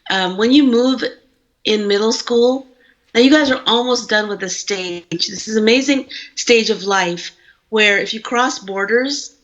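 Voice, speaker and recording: A woman's voice: on the high side, measured pace. A woman from India with an American accent, an author talking casually and at length to students over a video call. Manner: chatty and animated, talks at length. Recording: muffled video call audio.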